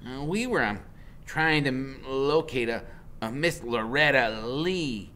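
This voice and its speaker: A man voicing a small, nervous, brainy boy in a storybook, stammering through formal, polite words in a chattery high voice. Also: lively intonation, slow, bright, forceful tone. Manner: stammering, wordy formal speech.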